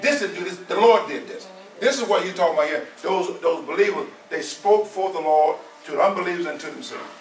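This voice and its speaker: Man, 70s, preacher, high voice, repetitive, emphatic, far-off, echoey room. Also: fairly quick. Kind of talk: informal sermon. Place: small church hall. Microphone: far camera.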